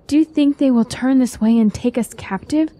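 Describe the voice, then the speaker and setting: A woman's voice: high-pitched, moderate pace, mellow, natural voice. A woman playing an anxious, devoted young-world fantasy queen in a radio drama, speaking earnestly and with worry. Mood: worried. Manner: earnest, worried.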